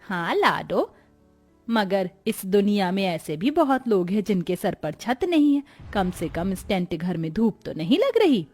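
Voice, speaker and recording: Woman as a husky, plaintive poor family woman. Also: mid-range voice, sing-song, unhurried, a little mellow, faint music behind. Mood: weary. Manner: plaintive, lamenting. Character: poor long-suffering Indian family woman, plaintive, defiant. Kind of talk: Hindi cartoon story acting.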